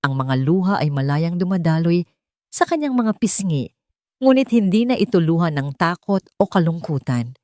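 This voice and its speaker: A woman reads a dramatic romance audiobook in a lowish, mellow voice. She tells it deliberately and with emotion. The sound is dry and intimate, with no room around it. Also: sing-song, dry recording. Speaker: narrator of romance stories. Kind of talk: dramatic scripted audiobook narration.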